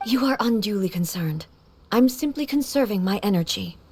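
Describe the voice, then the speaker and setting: A woman's voice: medium pitch, lively intonation, moderate pace, a little mellow, husky. A woman voicing a solemn, commanding warrior ruler in a video game, speaking in a formal, stately manner. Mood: calm, formal.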